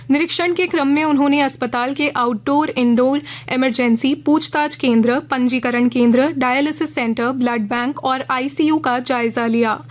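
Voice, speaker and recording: High-voiced woman reading radio news. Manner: measured, formal delivery. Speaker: news reader. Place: radio studio.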